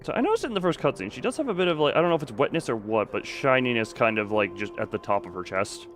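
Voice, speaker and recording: Animated male game streamer. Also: medium pitch, quick pace. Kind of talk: casual live game commentary. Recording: faint game music behind.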